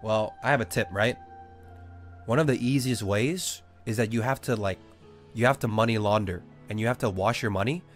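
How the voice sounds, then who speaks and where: A man's voice: on the low side, normal speed, soft background music. A young Australian-born man with a Southern Californian accent, casually chatting and joking with viewers while playing a game. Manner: joking, swears freely, reads chat aloud. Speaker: streamer. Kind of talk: casual gaming livestream chat.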